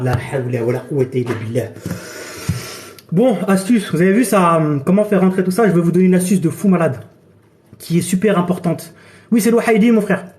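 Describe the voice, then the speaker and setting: A man with a cold and a hoarse voice, a coach chatting casually on a phone live stream and tossing in "d'accord" and "frère". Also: sits high, darkish tone, a little hiss or hum. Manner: chatty, repeats himself, many "d'accord" and "frère". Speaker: fitness and nutrition coach. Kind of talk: casual TikTok live chat. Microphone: phone during a live stream.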